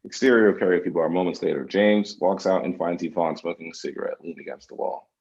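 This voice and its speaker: Deep-voiced man reading stage directions, slightly muffled. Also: fast talker, darkish tone. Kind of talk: scripted screenplay narration at table read.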